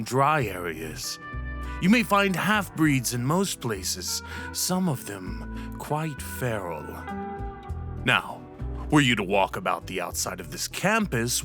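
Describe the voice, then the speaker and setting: Man playing a pompous, theatrical fantasy professor. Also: mid-range voice. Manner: theatrical, haughty lecturing with throat clearing. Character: pompous, stern, wry male professor of monster seduction. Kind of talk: ASMR roleplay acting.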